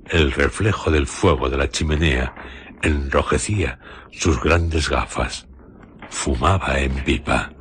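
A man with an accent from Spain narrating a radio drama in a measured, literary manner. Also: a bass voice, a bit bright, a little hiss or hum.

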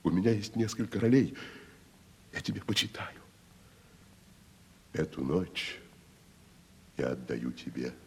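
An actor playing a magnanimous wandering tragedian, pompous and declamatory, swelling and hushing in a theatrical Russian radio play. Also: male voice, low voice, sing-song, measured pace, long pauses, slight background noise, full character voice. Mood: tender, solemn. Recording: 1970s radio play, reverberant, music behind.

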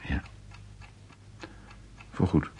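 An actor playing a weary, evasive husband in a radio play, speaking hesitantly and haltingly. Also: male voice, mellow. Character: evasive husband, weary home-loving office worker. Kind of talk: naturalistic radio play acting.

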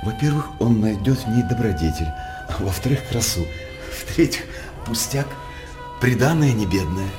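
A wry court jester acted by a man speaks in a fairly low voice with music clearly behind him. He is flattering and mock-pious, a sly confidant declaiming verse in a theatrical radio play. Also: normal speed, natural voice.

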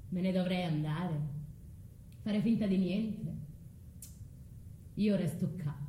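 A woman's voice: medium pitch, mellow. An actress with a southern Italian accent playing a bitter, vengeful abandoned foreign woman in a theatrical stage monologue. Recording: echoey room, faint background noise.

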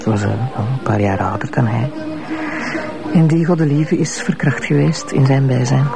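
An actress playing the tipsy, teasing and confiding wife of a police commissioner, with a Flemish accent, in a television crime drama. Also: female voice, very deep voice, moderate pace, vocal fry, over music. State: slightly tipsy.

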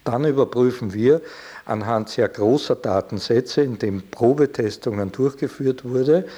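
A man's voice: mid-range voice, dark tone. A man speaking as an expert on testing, explaining in formal, precise academic wording. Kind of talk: interview explanation.

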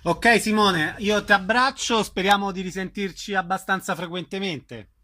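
A man's voice: high-pitched, moderate pace, sharp tone. A man presenting a radio programme as its host.

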